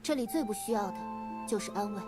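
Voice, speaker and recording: A woman's voice: medium pitch, expressive, fast, over music, lightly acted. A woman voicing a curt, moody and proud young woman in a fantasy game, with a cool, dismissive manner. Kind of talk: game voice acting.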